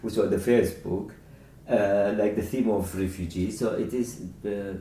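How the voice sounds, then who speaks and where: A man's voice: fairly low pitch, fairly even intonation, unhurried, warm tone. A man who is not a native English speaker, discussing books informally and searching for his words. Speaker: author discussing a book. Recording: echoey room, distant mic, faint noise.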